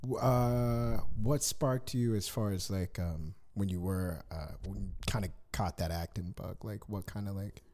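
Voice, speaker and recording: A man's voice: fairly low pitch, unhurried, few pauses, darkish tone. A man hosting a casual interview, joking around loosely between his questions. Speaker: podcast host.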